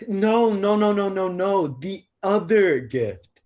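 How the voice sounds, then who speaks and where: A man's voice: high-pitched, expressive, takes it slowly, a little mellow, muffled recording. A man playing an awkward, lovestruck young god, hesitant and earnest, in a staged play reading. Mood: eager.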